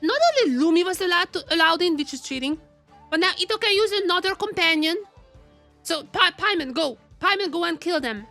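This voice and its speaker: A woman game streamer giving casual, expressive live commentary, often repeating her phrases. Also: extremely high pitch, fairly quick, soft background music.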